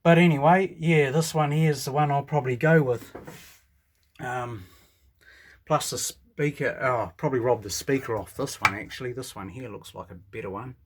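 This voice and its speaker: A man's voice: mid-range voice, normal speed, a little hiss or hum. Rambling New Zealand man, casual. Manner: rambling, unscripted, thinking aloud. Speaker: hobbyist radio reviewer. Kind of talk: casual hands-on show and tell. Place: indoors at a home workbench.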